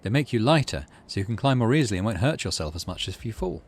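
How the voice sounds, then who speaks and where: A man's voice: fairly low pitch, big pitch swings, quick pace, husky. A man hosting a game playthrough, reading story text aloud expressively and adding casual asides. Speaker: gaming video host.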